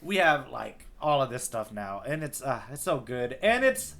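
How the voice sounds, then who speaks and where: A man's voice: medium pitch, sing-song, normal speed, creaky, fairly bright tone. A man co-hosting a podcast in casual conversation, thinking aloud in a rambling way and often saying "like". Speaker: podcast co-host. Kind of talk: casual chat recapping a manga arc.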